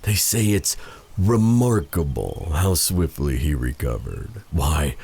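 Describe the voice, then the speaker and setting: A vengeful dark sorcerer for a fantasy audio roleplay. His voice is extremely deep and gravelly with creak. He draws out his words very slowly, silky and taunting, with purred menace. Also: expressive, exaggerated voice. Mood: sly, taunting. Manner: taunting, theatrical, drawn-out delivery with purred endearments.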